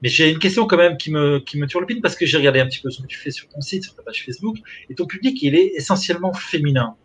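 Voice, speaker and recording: Relaxed joking male interviewer. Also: fairly high pitch, fast, a little hiss or hum. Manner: relaxed, joking, trails off into filler. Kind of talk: casual interview.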